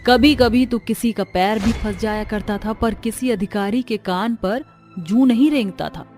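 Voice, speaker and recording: A woman's voice: medium pitch, unhurried, a little mellow, faint music behind. A woman reading scripted dramatic narration for a cartoon horror story.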